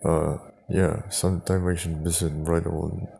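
A man chatting casually and haltingly, improvising as he goes and repeating words. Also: low-pitched, little pitch movement, vocal fry, mellow. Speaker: prank call video creator, host. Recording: noisy home recording.

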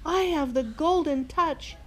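A woman voicing an excitable, gold-obsessed storybook king with a theatrical, exclamatory manner. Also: very high voice, measured pace, voice pushed up. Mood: triumphant. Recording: clearly noisy room recording.

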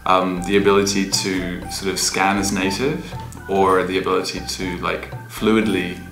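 A young man answers in a very low, flat voice, thoughtful and hesitant. The bright room gives a roomy echo, and music plays underneath. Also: unhurried. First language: English. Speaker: interviewee. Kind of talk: casual interview answers. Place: bright room with large windows. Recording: echoey room, music behind.

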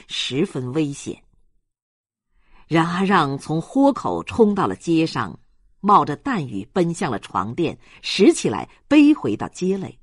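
Female audiobook narrator, lower register, close dry sound, scripted storytelling. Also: husky, dry recording.

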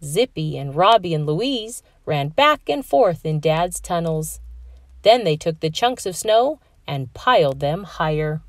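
A woman reading a children's story aloud in a measured, expressive way. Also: sits low, no echo, studio quality. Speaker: storybook reader. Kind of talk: children's read-aloud narration.

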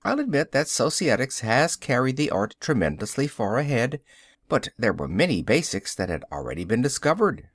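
A man's voice: medium pitch, big pitch swings, moderate pace, no room sound, clean studio sound. A man voicing a curious, skeptical adult man who keeps probing with questions in an audiobook. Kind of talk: audiobook character reading.

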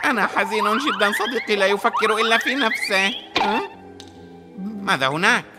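A gullible, loyal young wolf from a children's cartoon, as a man voices him, talking nervously and naively. His voice is pitched high. Music is clearly heard behind him. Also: a little fast, lightly acted. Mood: fearful. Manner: naive and anxious. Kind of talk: dubbed cartoon acting.

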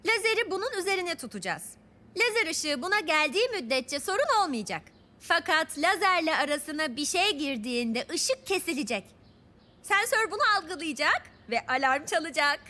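Young cartoon girl, very high pitch, animated, confident, explanatory. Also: normal speed, exaggerated voice. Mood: explaining. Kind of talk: children's cartoon dub acting. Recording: music under the voice.

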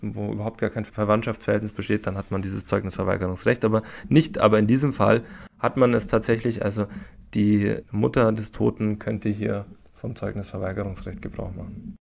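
A man's voice: on the low side, flat delivery, a little fast, creaky, a little mellow. A man giving explanations as a legal expert in a podcast interview, repeating words for emphasis.

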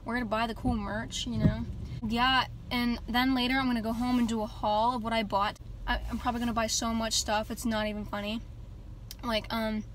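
A teenage girl vlogging in a casual, jokey way, her voice a little raspy from short sleep, filmed on a phone at arm's length in a parked car. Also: fairly high pitch, little pitch movement, brisk, a little hiss or hum. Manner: chatty, jokey, lots of "like" and "literally". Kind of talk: casual vlog chat. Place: parked car on a rainy day. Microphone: phone held at arm's length.

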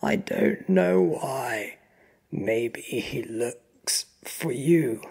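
A performer voicing a frightened, injured henchman who stammers and speaks in halting, strained bursts. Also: mid-range voice, very animated, gravelly, slightly rough, exaggerated voice. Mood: pleading.

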